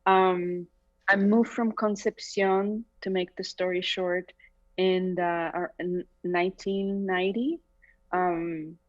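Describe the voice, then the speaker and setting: A woman's voice: on the low side, level tone, slow. A middle-aged woman from Chile with a Chilean Spanish accent, her first language being Spanish, talking reflectively in a casual interview and laughing often. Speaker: singer, interview guest. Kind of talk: casual conversation. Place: bright room at home. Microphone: video call. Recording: slightly dull video call audio.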